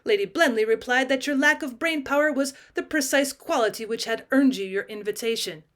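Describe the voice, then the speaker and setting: A woman's voice: high voice, brisk, a bit bright. A woman voicing a coldly sardonic talking male cat in a storybook reading, haughty, unruffled and cutting. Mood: cool disdain. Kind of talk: audiobook character voice, British classic short story.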